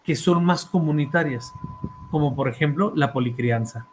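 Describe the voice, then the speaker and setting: A man's voice: fairly high pitch, moderate pace, slight background noise. A man with a Mexican accent presenting his book in an academic talk, hesitating often with fillers and repeated words and checking in with his listeners. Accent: Mexican Spanish. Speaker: author and therapist presenting a book.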